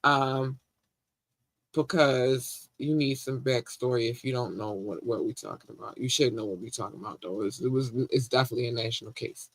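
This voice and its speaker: A man with a Baltimore accent hosting a podcast, chatting casually and warmly shouting out his listeners in slangy talk. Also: medium pitch, moderate pace, dry recording, rough recording. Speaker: podcast host. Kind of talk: casual live-stream chat.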